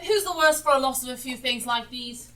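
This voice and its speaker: A woman's voice: high voice, fairly quick, pushed and bright. A woman playing a brazen, callous, scoffing charwoman in an amateur stage play of a Dickens story. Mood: scornful, callous. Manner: defiant, scoffing, declamatory. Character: brazen, callous charwoman selling a dead man's belongings. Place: theatre stage, live performance. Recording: live stage recording, distant and noisy.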